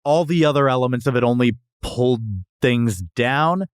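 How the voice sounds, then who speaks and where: A man's voice: medium pitch, moderate pace, clean tone, no room sound, studio quality. A man co-hosting a casual comedic restaurant review, talking emphatically with slangy exaggeration. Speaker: podcast co-host reviewing restaurants.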